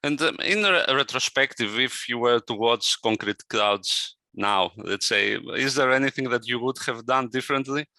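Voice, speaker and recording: A man interviewing a filmmaker, asking brief questions with soft murmurs of agreement in between. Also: medium pitch, moderate pace, few pauses, no echo.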